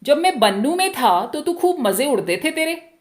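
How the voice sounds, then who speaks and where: A jealous, possessive village husband from a storybook, voiced by a woman narrator. The questions come out agitated and accusing, in a fairly high voice, brooding with suspicion. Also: very animated, moderate pace. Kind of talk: dramatic Hindi short story reading.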